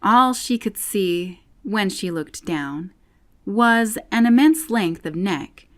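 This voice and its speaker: A woman's voice: mid-range voice, lively intonation, takes it slowly. A woman reading a children's classic aloud as a scripted audiobook narrator.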